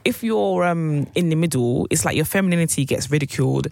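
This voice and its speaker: A woman's voice: sits low, brisk, vocal fry, a little mellow, no echo. A young woman with a girly voice, co-hosting a podcast in casual, chatty conversation. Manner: chatty, uses "do you know what I mean". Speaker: podcast co-host. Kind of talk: casual chat.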